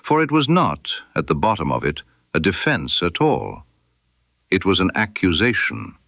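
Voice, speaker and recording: Deep-voiced man narrating a detective story. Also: wildly swooping pitch, moderate pace, vocal fry, dry recording, highs cut off. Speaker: audiobook narrator. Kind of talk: scripted detective story narration, reading as Dr. Watson.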